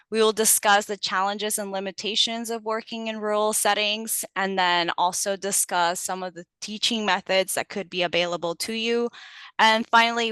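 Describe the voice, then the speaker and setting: A woman's voice: mid-range voice, pushed and bright, clean tone, no room sound. A young adult woman from Colombia giving an online presentation to teachers, friendly and explanatory with frequent ums. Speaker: guest speaker, teacher trainer.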